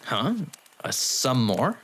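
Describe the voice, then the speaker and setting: A man's voice: mid-range voice, big pitch swings, slow pace, fairly bright tone, slight background noise. A man voicing a brash, blunt young dog demon who grumbles and riles easily, in an anime-style audio drama. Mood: puzzled.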